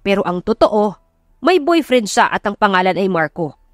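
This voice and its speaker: A woman's voice: mid-range voice, sing-song, normal speed, dry recording, studio quality, soft background music, natural voice. A woman voicing a stern, angry father who feels betrayed, in a romance audiobook mixing Tagalog and English. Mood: angry, accusing. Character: angry, betrayed wealthy father confronting his son. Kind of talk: audiobook romance drama acting.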